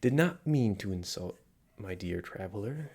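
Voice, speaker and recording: Man playing a flirty, low-voiced fortune teller. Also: expressive, a little slow, breathy edge, exaggerated voice. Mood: teasing, knowing. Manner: flirtatious, theatrical, wheedling, swinging into soft murmurs. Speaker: palm reader character in an audio roleplay. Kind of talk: scripted intimate roleplay addressed to the listener.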